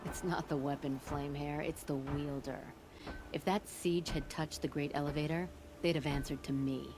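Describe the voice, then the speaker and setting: A woman's voice: sits low, steady tone, moderate pace, natural voice. An actress playing a proud, middle-aged forge boss in a video game, blunt, wry and folksy, with music under her. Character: brash, proud older forge boss woman, gruffly humorous. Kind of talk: video game character acting.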